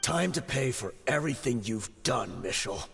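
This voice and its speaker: A man's voice: mid-range voice, animated, normal speed, creaky, big-room sound, faint music behind. A man playing a hostile, vengeful fighter in a video game, sneering with contempt.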